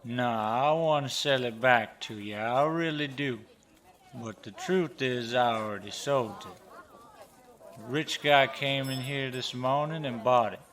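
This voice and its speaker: A man's voice: mid-range voice, unhurried, a bit bright, lightly acted. A man acting in an audio drama as a sly old pawnbroker with a folksy, teasing, gossipy way of talking. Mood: smug.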